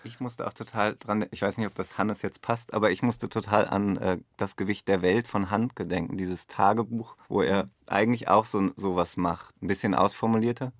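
Male director in an interview, kinda low voice, feeling his way through thoughts, dry sound. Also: fairly even intonation, normal speed, few pauses, no room sound. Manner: tentative, thinking aloud. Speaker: radio play director. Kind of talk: reflective interview talk.

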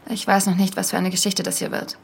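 An actress plays a haunted woman podcaster on a search in an audio drama. Her mid-pitched voice carries a lot of creak, and she speaks with earnest intensity. A little background noise sits behind her. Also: flat delivery, fast talker, natural voice. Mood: earnest, determined. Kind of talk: audio drama, first-person podcast narration.

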